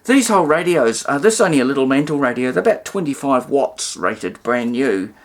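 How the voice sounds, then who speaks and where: A man's voice: medium pitch, sing-song, brisk, a little hiss or hum. A man presenting a casual hands-on demonstration, explaining things in a rambling way with asides and alternative terms. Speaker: presenter demonstrating vintage radio testing.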